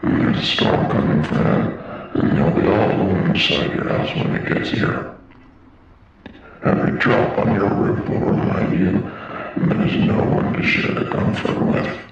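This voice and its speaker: A man's voice: low voice, measured pace, pauses often. A man voicing a sinister, falsely friendly entity in an analog horror film, whispering with taunting menace. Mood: quiet menace. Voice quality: breathy, unvoiced whispering. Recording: noisy, muffled, heavily echoing horror-tape audio.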